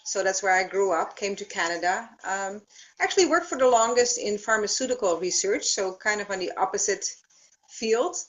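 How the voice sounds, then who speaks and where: A woman with a Dutch accent speaks as a guest over a video call. She explains her work step by step and often pauses to think. Her voice sits in a middle pitch range. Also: animated. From Netherlands. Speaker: thermography practitioner, guest. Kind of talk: explanatory interview.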